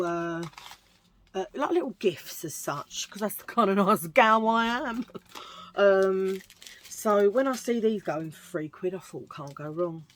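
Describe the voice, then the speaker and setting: A middle-aged woman with a husky low voice, chatting in a rambling, self-mocking way while showing a thrift haul. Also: a little hiss or hum. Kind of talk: casual chatty haul video.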